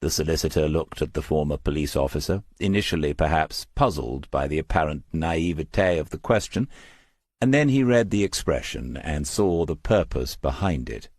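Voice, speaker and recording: A man reading a scripted crime novel as an audiobook narrator, in a measured storytelling manner. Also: very deep voice, expressive, a little mellow, no echo, clean studio sound.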